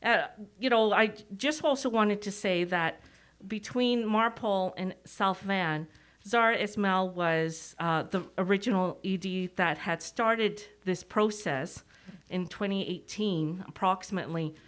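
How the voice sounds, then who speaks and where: Female presenter, middle-aged, Canadian accent, mid-pitched voice, masked, chatty delivery partly from notes. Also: measured pace, a bit bright. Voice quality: speaking through a mask. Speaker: executive director giving a presentation.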